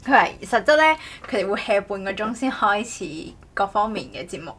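A woman's voice: mid-range voice, expressive, moderate pace, fairly bright tone, slight background noise. A woman chatting casually as a podcast host, rambling and often correcting herself. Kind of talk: casual chat.

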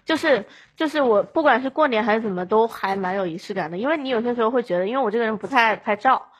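A woman's voice: a little high, lively intonation, quick pace, slightly rough. Chatty woman sharing stories casually. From Chongqing, China. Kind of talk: casual podcast chat.

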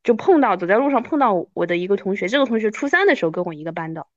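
A woman's voice: mid-range voice, big pitch swings, racing. Slightly muffled woman in casual chat. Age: 30s. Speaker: podcast host.

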